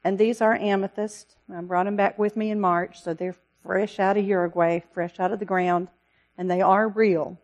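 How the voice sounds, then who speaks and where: Woman, missionary, church talk, earnest, loud-voiced, pleading, mid-pitched. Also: steady tone, moderate pace, lo-fi sound. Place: church service.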